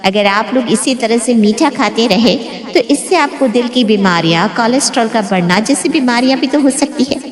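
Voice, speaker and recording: A woman's voice: fairly high pitch, moderate pace, a crowd in the background, close to an everyday voice. A woman playing a stern, authoritative doctor giving advice in a family story drama. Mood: stern, advising. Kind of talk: scripted story drama dialogue. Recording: echoey room with long reverb.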